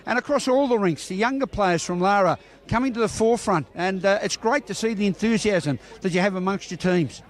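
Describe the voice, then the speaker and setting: A man's voice: sits high, a little fast. An Australian man interviewing bowlers outdoors after a match, chatty and full of congratulations. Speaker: sports reporter. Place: outdoor bowling green.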